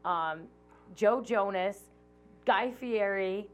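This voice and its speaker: A woman's voice: medium pitch, very slow, soft background music. A woman in her late twenties hosting a podcast, telling a story in a chatty, casual way and swearing freely. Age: 20s. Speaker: podcast host. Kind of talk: casual chat.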